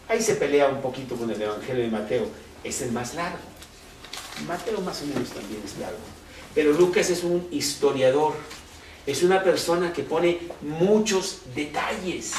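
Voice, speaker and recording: A man preaching a sermon in Spanish. He talks slowly and conversationally and keeps asking rhetorical questions. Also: fairly high pitch, distant mic. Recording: roomy echo, off-mic, faint background noise.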